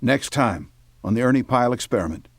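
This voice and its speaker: A man's voice: mid-range voice, expressive, brisk, gravelly, no room sound. A man announcing a radio series in a scripted sign-off style.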